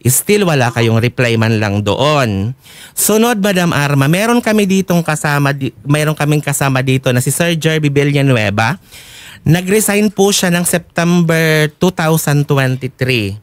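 A man's voice: on the high side, expressive, a little slow, dry recording. A man with a Filipino accent laying out grievances formally and insistently as a complainant. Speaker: complainant, factory worker. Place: broadcast studio, crowded with coworkers. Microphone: desk microphone.

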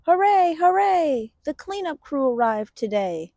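A woman reads a children's picture book aloud with a very animated, swooping delivery. She takes her time over the words in an expressive storytelling way. The sound is dry and close, without room echo. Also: high voice, measured pace.

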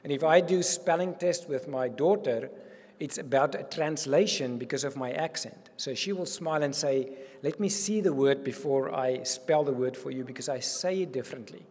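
South African man, husky earnest speech. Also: mid-range voice, moderate pace. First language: Afrikaans. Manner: earnest and animated, gesturing widely. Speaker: university provost. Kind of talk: welcome speech. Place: reception hall with curtains. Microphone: podium microphone. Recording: long hall reverb.

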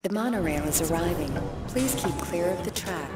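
An actress playing a calm, courteous monorail announcer in a video game, polished and measured. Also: female voice, lowish voice, close to an everyday voice. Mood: pleasant, calm. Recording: distant announcement with music behind.

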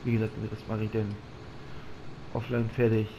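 A man's voice: on the low side, flat delivery, moderate pace, mellow, low-quality recording. A man with a slight cold, commenting casually on his farming game and thinking aloud as he drives. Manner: rambling, thinking aloud with fillers. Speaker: gaming channel host. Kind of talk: casual let's-play commentary. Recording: audible background noise.

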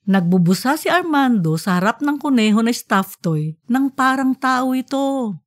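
A woman narrating an audiobook in an expressive storytelling style. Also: high-pitched, no room sound, clean studio sound.

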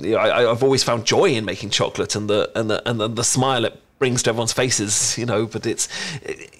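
A man's voice: on the low side, natural voice. Man acting shy, stammering British chocolatier. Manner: nervous, stammering, halting. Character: shy, adorkable young male chocolatier, smitten and flustered. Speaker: voice actor in an audio roleplay. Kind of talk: ASMR roleplay, one-sided intimate conversation.

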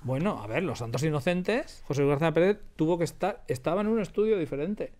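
A man's voice: a little high, expressive, quick pace, darkish tone. A man with a Spain accent, a sound designer chatting casually in a radio studio interview.

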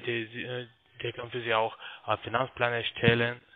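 A man's voice: mid-range voice, monotone, moderate pace. Polite man on a tinny phone line. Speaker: call centre account agent. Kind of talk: phone sales call.

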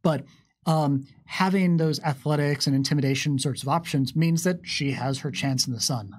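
A man in his thirties co-hosting a question session, explaining things in articulate, measured phrases. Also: a little high, breathy edge. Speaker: game developer co-hosting a Q&A. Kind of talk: explanatory panel talk. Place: indoor studio table with curtain backdrop. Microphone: tabletop microphone on a stand.

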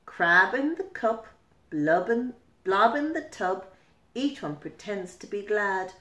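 A middle-aged woman reading a children's picture book aloud, expressive, deliberate and emphatic, in a roomy-sounding home. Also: medium pitch. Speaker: storyteller. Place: small furnished room at home. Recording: roomy indoor echo.

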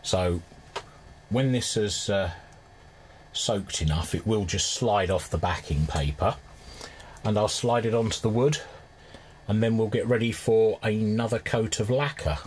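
A man's voice: lowish voice, measured pace, long pauses, a little mellow. A man giving an informal hands-on demonstration, thinking aloud as he works at his bench. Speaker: restorer presenting a hobby repair video. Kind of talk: casual hands-on demonstration commentary. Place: indoor cluttered electronics workbench. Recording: clear background noise, workshop ambience.

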